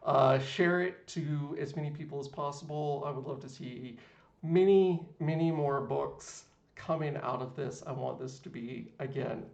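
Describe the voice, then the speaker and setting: A man's voice: mid-range voice, vocal fry, darkish tone. A man hosting an online interview, speaking enthusiastically with frequent filler uhs. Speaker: podcast host. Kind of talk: wrapping up an interview. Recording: echoey room.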